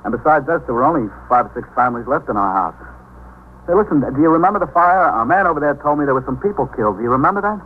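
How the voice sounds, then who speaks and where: An actor playing an anxious young American navy sailor, polite but urgent and stammering, in 1950s radio drama style. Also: male voice, mid-range voice, animated, racing, lightly acted. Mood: worried. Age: young adult. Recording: muffled, noisy 1950s radio recording.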